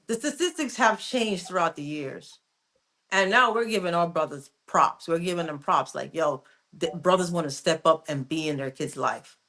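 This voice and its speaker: A woman's voice: lowish voice, a bit bright. Emphatic Jamaican-born woman co-host. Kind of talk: casual radio talk.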